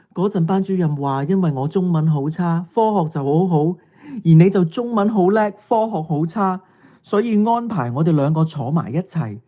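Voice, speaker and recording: Woman playing a lower-voiced mocking schoolgirl, Hong Kong Cantonese. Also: mellow. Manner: taunting, theatrical delivery. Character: mocking, bossy teenage schoolgirl. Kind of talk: audio drama acting.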